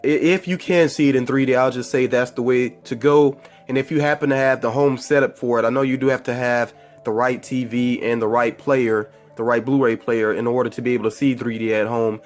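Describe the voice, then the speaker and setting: A man with a stuffy, congested voice from a cold talks through a casual film review at a middling pitch. He rambles easily, backtracks and corrects himself mid-sentence. Soft music plays faintly behind him. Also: fairly even intonation, brisk. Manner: rambling and chatty, with frequent fillers and self-corrections. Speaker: movie review vlogger. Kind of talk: casual spoiler movie review.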